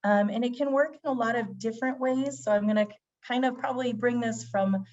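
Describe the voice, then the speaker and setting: A woman's voice: a little high, brisk, a little mellow. A woman physician giving a webinar presentation, her voice a little scratchy as she gets over a cold. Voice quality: slightly scratchy. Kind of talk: webinar presentation, semi-scripted. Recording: dull, band-limited webinar audio.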